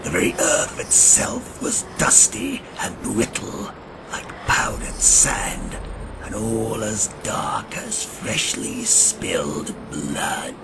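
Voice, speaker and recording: A man playing a grim, ancient vampire hunter who narrates a gothic audio drama in a solemn, ornate and gravelly manner. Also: lowish voice, wildly swooping pitch, heavily acted, rough recording. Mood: ominous, solemn. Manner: solemn, ornate, deliberate delivery. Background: dramatic music.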